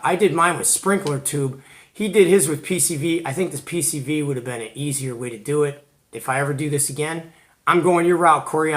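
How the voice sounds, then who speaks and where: A man from Georgia speaks in a voice pitched a bit above average. He hosts a show and tell in a casual, conversational style. His wording is informal and full of slang, as if chatting with fellow hobbyists. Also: a bit bright. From Georgia, United States. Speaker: host of a CB radio hobby show.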